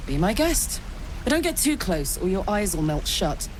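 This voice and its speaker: A woman's voice: medium pitch, big pitch swings, moderate pace, slight background noise, natural voice. A woman playing a brash tiefling warrior escaped from hell, frank and wry, in a video game cutscene.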